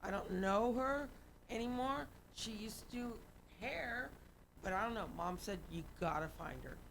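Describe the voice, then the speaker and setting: A woman's voice: a little high, a little slow. Emphatic middle-aged woman, noisy recording. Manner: animated, emphatic, quotes voices in her stories. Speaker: guest. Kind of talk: casual storytelling.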